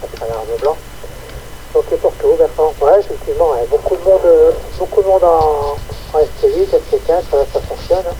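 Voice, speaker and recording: A man talks casually as a radio amateur. His voice is extremely dark and mellow yet a little high in pitch, and he repeats words as he goes. A faint noise sits on the transmission. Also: normal speed, rough recording. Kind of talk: casual ham radio chat.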